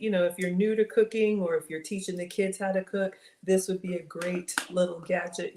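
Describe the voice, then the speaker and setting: A middle-aged woman with a slightly raspy voice, chatting casually to viewers while she cooks in her home kitchen. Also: fairly low pitch. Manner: chatty, talks to viewers as y'all. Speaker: cooking livestream host. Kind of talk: casual live cooking chat with viewers. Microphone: fixed camera at counter. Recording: echoey kitchen with clear background noise.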